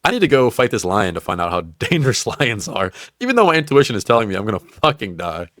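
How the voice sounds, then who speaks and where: A man's voice: lowish voice, sing-song, fast, fairly bright tone, no echo, clean studio sound. A young man co-hosting a podcast, swearing freely and teasing his friend, laughing often. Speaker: podcast co-host. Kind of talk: casual crude banter.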